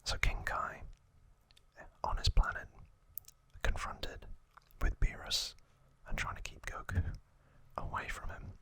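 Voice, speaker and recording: A man hosting ASMR, giving a trivia recap in a soft, breathy, unvoiced whisper with halting, informal asides. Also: dry recording. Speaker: ASMR host.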